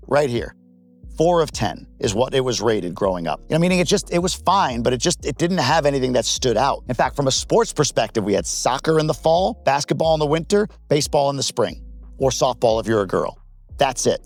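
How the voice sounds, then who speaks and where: Candid man talking to camera. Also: medium pitch. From United States. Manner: candid, joking, conversational. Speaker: vlogger. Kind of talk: casual to-camera talk.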